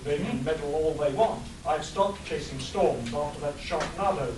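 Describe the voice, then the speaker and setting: A man's voice: on the high side, normal speed, darkish tone, lightly acted. A man playing a laid-back, jaded retired storm chaser in a comic radio play, with a flippant manner. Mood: breezy, flippant. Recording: echoey noisy hall, distant mic.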